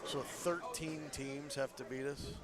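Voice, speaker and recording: A man's voice: medium pitch, steady tone, measured pace. A man chatting casually as a bowler on the commentary, stuffy-nosed, joking and laughing easily. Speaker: bowler joining the stream commentary. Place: bowling alley. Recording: crowd noise from a bowling alley. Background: bowling alley crowd noise.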